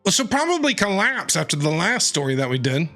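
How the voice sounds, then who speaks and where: A man's voice: sits high, sing-song, brisk, music in the background. A man casually commenting while playing a video game, chatty and reacting aloud. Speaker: game streamer. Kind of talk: casual let's-play commentary.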